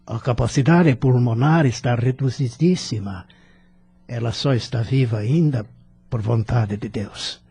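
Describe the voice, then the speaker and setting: Audio drama part: actor as a somber male physician, extremely husky, mellow, medium pitch. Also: a little slow, exaggerated voice. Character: grave male doctor giving bad news.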